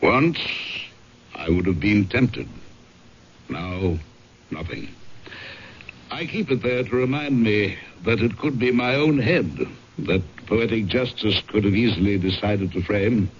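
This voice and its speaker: An actor playing a huge big-game hunter, gentlemanly and deliberate, in an old-time radio drama. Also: male voice, fairly low pitch, animated. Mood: wry, brooding. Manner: gentlemanly, deliberate, pausing for effect. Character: huge bearded English big-game hunter, vital, genial host. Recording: dull, faintly noisy old radio recording.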